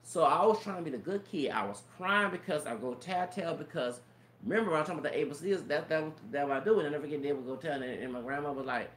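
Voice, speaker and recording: A man's voice: on the high side, quick pace, a bit bright. A man in his thirties with a feminine-sounding voice, telling a rambling personal story with stammered restarts and cursing. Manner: repetitive stammered restarts, profanity, frequent "you know what I'm saying". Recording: roomy echo, faint background noise.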